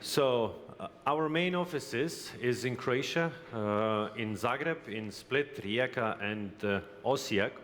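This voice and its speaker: A man from Lithuania gives a conference presentation, speaking haltingly with frequent hesitations and restarts. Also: lowish voice, a bit bright. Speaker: company representative presenting. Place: exhibition hall. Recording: reverberant hall, faint background noise.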